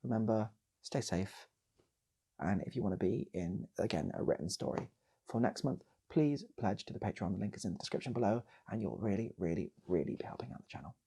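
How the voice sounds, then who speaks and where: A man's voice: fairly low pitch, expressive, fairly quick, gravelly, dark tone, breathy edge. A man with a British accent telling a casual improvised comic story as a channel host, rambling and repeating his phrases.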